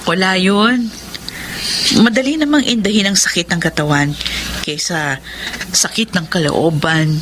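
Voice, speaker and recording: An actress playing a steadfast, loving heroine in a Filipino romantic radio drama, earnest and sentimental, with a Filipino accent. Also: female voice, lowish voice, measured pace, long pauses, noisy background, close to an everyday voice. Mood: gentle, contrite.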